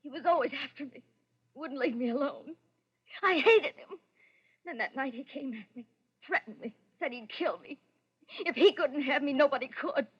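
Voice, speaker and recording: Woman acting a desperate lover, high-pitched, pleading, intensely emotional, vintage radio melodrama, muffled old recording. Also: moderate pace, pauses often, natural voice. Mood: anguished confession. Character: desperate lovestruck woman hiding a deadly secret.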